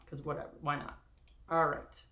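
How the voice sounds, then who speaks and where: A man's voice: fairly high pitch, level tone, normal speed, pushed and bright. A man casually hosting a live table read in an informal, easygoing way. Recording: echoey room on livestream call.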